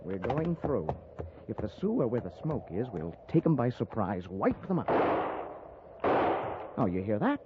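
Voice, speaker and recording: Eager, animated young cavalry lieutenant. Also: male voice, on the low side, lo-fi sound. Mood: eager, bold. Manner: brisk, commanding. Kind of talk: 1950s radio drama acting. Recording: noisy old radio recording.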